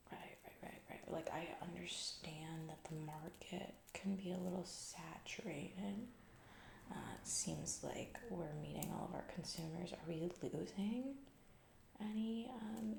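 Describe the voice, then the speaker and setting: A woman's voice: lowish voice, echoey room, slight background noise. Woman playing a whispery, distracted, callous lady CEO. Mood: vague, demanding. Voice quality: breathy whisper mixed with soft creaky speech. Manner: vague, halting, filler-laden, flippant. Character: distracted, callous, awful female corporate CEO boss. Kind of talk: whispered ASMR comedy roleplay.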